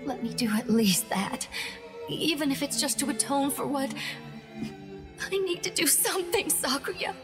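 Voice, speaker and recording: A woman's voice: sits high, expressive, unhurried, long pauses. An actress playing a polite, remorseful young woman soldier in a game dub, fragile but resolute, with an earnest formal manner. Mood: pleading. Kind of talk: anime game English dub acting. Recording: reverberant, music behind.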